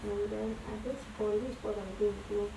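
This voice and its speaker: A woman with a hoarse voice from days of coughing, walking viewers through a headwrap tutorial in a casual, chatty way and often checking in with "okay?". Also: medium pitch, fairly even intonation, brisk, background noise. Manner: chatty, keeps adding "okay?". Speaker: tutorial host.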